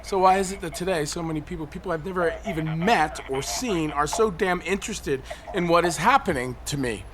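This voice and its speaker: Actor as bitter, halting man, rather high-voiced. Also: noisy background, close to an everyday voice. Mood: wounded. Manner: halting, defiant, cynical, profane. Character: bitter, paranoid, suicidal loner perched on a high ledge. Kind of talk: naturalistic audio drama acting.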